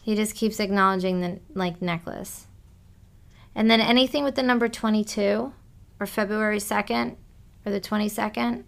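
A woman giving a psychic reading, relaying messages in halting bursts with many restarts. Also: mid-range voice, fairly even intonation, creaky. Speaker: psychic medium.

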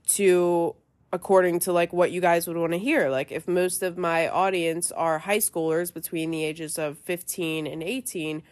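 A young woman hosting her own podcast in a chatty, casual way with many fillers, her voice stuffy and nasal from a cold. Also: lowish voice. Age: young adult. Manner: chatty, lots of fillers and likes. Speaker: podcast host. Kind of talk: casual chat.

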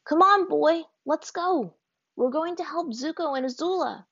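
Young boy hero, brave and stubborn, read by a woman: high and urgent, dry lo-fi storybook reading. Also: lively intonation, fairly quick, fairly bright tone, no echo. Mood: eager. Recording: dry, dull lo-fi audio.

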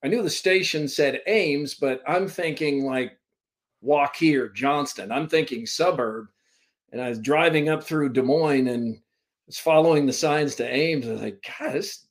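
A man's voice: fairly high pitch, unhurried. A man in his fifties from Vermont, a sports broadcaster telling stories as a podcast guest, rambling and self-deprecating, speaking over a computer call. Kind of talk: casual storytelling interview. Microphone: remote call from a computer.

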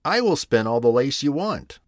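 A man's voice: mid-range voice, sing-song, moderate pace, no echo, clean studio sound, pitched above the performer's own voice, close to an everyday voice. Kind little spider, voiced by a man. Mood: reassuring. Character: kind little male spider, blunt and helpful.

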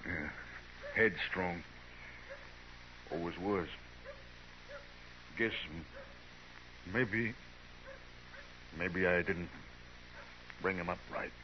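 Hard American frontier patriarch, radio drama. Very low, slow, heavy and gruff, muffled vintage sound. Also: male voice. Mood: grim, grieving. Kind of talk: 1950s radio drama acting. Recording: muffled, noisy 1950s radio recording.

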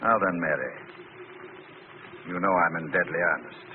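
An actor playing a suave, threatening crook with measured, coolly polite delivery in an old radio thriller. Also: male voice, low voice, natural voice. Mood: cold, threatening. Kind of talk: 1940s radio thriller acting. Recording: narrow, hissy old radio recording.

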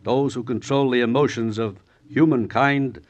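A man's voice: mid-range voice, steady tone, moderate pace, natural voice. Man as theatrical, didactic revolutionary ideologue. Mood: cold, didactic. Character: coldly intellectual middle-aged male revolutionary exile and ideologue. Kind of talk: 1950s radio drama acting.

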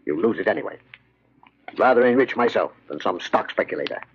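A sly male shop dealer in an old radio horror play. His husky, lowish voice swings up and down as he talks with smug, condescending deliberation. The recording is dull and vintage. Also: expressive, fast, full character voice. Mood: shrewd, haggling. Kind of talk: 1940s radio horror acting.

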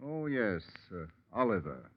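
A man's voice: fairly low pitch, very animated, a little slow. A proud old actor who has lost his fortune speaks in grand, pompous phrases. The old radio recording is dull and muffled. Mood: rueful. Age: older. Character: faded old stage actor, proud, theatrical man. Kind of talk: 1940s radio acting.

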